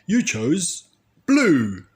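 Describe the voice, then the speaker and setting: A man's voice: fairly high pitch, wildly swooping pitch, drawn-out words, darkish tone, pitched above the performer's own voice, exaggerated voice. A man voicing a cheerful father pig who plays magician, announcing grandly in a storybook reading. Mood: theatrical guessing.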